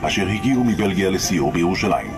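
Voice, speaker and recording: Male documentary narrator, low gravelly voice, very fast, heavy music. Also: animated.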